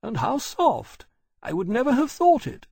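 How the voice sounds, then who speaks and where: Genteel older mother, played by a male reader: high, breathy, gracious storybook aristocrat. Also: very animated, unhurried, darkish tone, dry recording, studio quality, heavily acted. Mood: pleasantly surprised.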